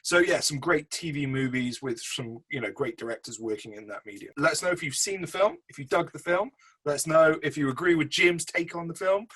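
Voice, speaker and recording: A man's voice: fairly high pitch, brisk, fairly bright tone, husky. A man hosting a casual film review chat, speaking in a chummy, slangy and enthusiastic way. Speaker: film review show host.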